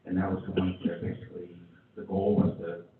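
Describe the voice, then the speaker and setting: A man briefing a city council in a halting way, with many fillers and restarts. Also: low voice, completely flat delivery, very noisy. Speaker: city chief presenting to council. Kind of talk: council meeting report. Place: council chamber. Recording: tinny, echoey chamber from far off.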